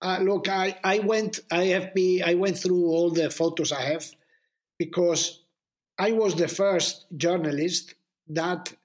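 Male journalist guest, high voice, foreign-accented English, halting casual storyteller who repeats words for emphasis. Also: steady tone. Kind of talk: casual anecdotal chat.

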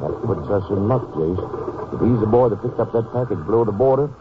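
An actor playing a folksy, often puzzled country sheriff in a 1950s radio drama, with a questioning, drawn-out manner. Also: male voice, on the low side, expressive, natural voice. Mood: alert. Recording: muffled, noisy old-time radio recording.

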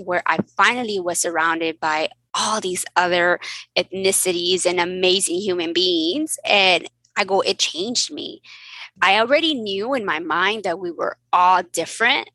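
A woman who grew up in Mexico with Spanish as her first language, telling lively stories as a guest physician in a casual interview. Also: on the low side, no echo, low-quality recording. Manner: animated, laughing storyteller.

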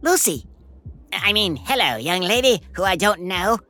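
A woman's voice: mid-range voice, big pitch swings, unhurried, bright, forceful tone. A woman playing a nervous, exasperated sidekick in disguise in a children's audio comedy. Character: grumbling, reluctant sidekick disguised as garbage man Howard Hopper.

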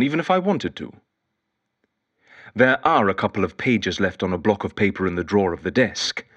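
A man's voice: medium pitch, fairly bright tone. An actor playing a lonely, eccentric male detective who rambles fussily and whimsically into an audio diary in an audio drama. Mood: fussily rambling. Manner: fussy, whimsical, wistful, digressive. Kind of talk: audio drama monologue as spoken journal.

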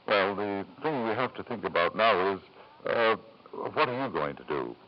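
Actor playing smooth pushy middle-aged lord, low voice, very animated pitch, old radio drama. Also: male voice, normal speed, close to an everyday voice. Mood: persuasive. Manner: urbane, insistent, hemming. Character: smooth, domineering wealthy lord and father. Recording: muffled 1940s-50s radio recording.

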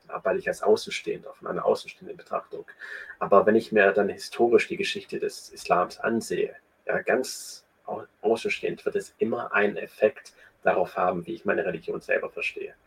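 A man's voice: lowish voice, fairly even intonation, a little fast, vocal fry, slightly rough, poor audio. A man being interviewed, thinking aloud and explaining his views at length with frequent restarts and fillers. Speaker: guest. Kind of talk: conversational interview.

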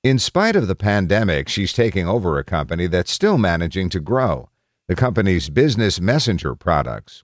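A man's voice: low-pitched, dry recording, clean studio sound. A man narrating a scripted news article as a reader.

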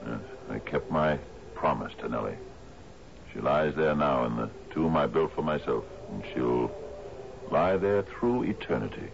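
A gravelly, very deep-voiced sea captain broods in a radio mystery play. He speaks in halting, formal phrases, weighed down by grief. The old broadcast is muffled, with clear noise over it. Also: lively intonation, exaggerated voice. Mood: defensive. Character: troubled, grieving sea captain, master of an island house. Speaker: actor in a radio mystery drama. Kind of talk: 1970s radio drama acting.

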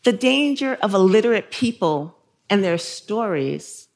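A woman author from South Carolina speaking in a measured, thoughtful way, with soft laughs between phrases. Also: mid-range voice, animated, gravelly. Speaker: guest author. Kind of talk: reflective interview and talk excerpts.

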